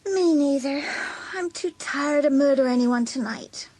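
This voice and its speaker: An actress playing a casual American high school girl in a dramatised audiobook. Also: unhurried, darkish tone, poor audio. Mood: tired.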